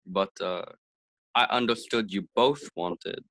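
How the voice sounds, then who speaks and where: A man's voice: lowish voice, slow pace, a bit bright, no echo. Guy doing a jolly old boss who chuckles a lot, old radio comedy style, dry sound. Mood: jovial. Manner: hearty, chuckling. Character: jovial older male boss, Mr. Atterbury. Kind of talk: 1940s radio acting.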